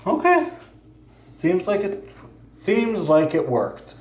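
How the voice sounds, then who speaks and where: A man's voice: sits high, wildly swooping pitch, very slow. A man vlogging casually, thinking aloud as he fiddles with new gear. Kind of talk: casual how-to vlog. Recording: echoey room.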